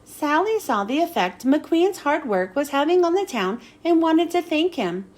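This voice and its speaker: A woman reads a children's story aloud. Her voice is high and swings up and down a lot, with dramatic emphasis on the storytelling. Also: big pitch swings, measured pace, darkish tone. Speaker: children's book reader.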